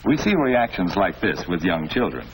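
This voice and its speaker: A fatherly, middle-aged American schoolmaster from a 1940s classroom film. His low voice swings expressively as he patiently explains. Faint noise from the old soundtrack sits under it. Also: very animated, moderate pace, low-quality recording. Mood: patient, instructive. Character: patient, avuncular male school principal or teacher. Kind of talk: 1940s educational film acting.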